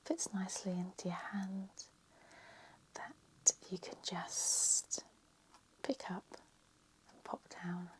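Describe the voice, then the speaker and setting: A woman whispering a slow, soft ASMR lecture roleplay, her voice slightly croaky. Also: mid-range voice, rough recording.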